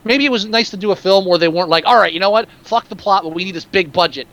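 A man's voice: high voice, fast talker, fairly bright tone, slight background noise. A man co-hosting a casual movie podcast, opinionated and profane, talking through films with energy. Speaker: podcast co-host.

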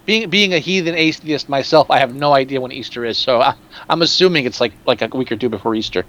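A man's voice: mid-range voice, quick pace, fairly bright tone. A man co-hosting a casual movie podcast, opinionated and profane, talking through films with energy. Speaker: podcast co-host.

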